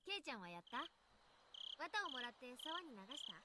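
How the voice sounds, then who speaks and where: A woman voicing a brisk, bossy teenage girl in a Japanese anime visual novel. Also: high voice, very animated, very noisy. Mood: probing. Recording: game music loud behind.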